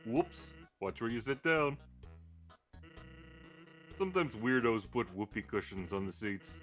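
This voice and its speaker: A man voices a slacker skeleton from a video game, joking in a wry, casual way. The voice is bright and sits at a middling pitch, with lively swings, over game music. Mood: joking, easygoing. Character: laid-back joking skeleton slacking off from work. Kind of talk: live character voicing of video game dialogue.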